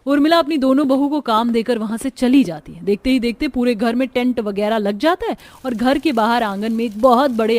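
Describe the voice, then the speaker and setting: A woman delivering scripted narration for an animated family story. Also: fairly high pitch.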